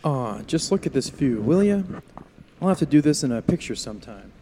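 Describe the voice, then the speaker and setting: A man's voice: mid-range voice, sing-song, fairly quick, mellow, in a large hall. A man playing an easygoing, starstruck motorist in a radio play. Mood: eager, gawking. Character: easygoing male passing motorist, eager and starstruck.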